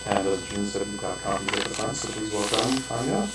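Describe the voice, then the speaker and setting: A man's voice: on the low side, brisk. A man casually hosting a poetry reading and introducing readers in a bookstore. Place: bookstore event. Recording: very echoey distant room mic, music, hum.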